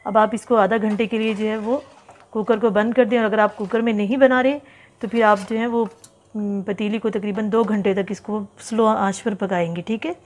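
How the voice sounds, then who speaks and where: A woman hosting a home cooking tutorial, chatting through each step and reading out ingredient amounts slowly, often repeating them. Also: medium pitch, steady tone. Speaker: cooking channel host. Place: home kitchen.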